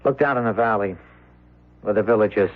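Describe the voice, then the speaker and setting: A man's voice: lowish voice, lightly acted. Man acting an earnest young idealist, tinny sound. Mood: brooding, bitter. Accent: American. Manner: earnest, intense, halting. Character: earnest, principled young idealist farmer, brooding and defiant. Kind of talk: old-time radio drama acting. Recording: tinny, narrow vintage radio broadcast.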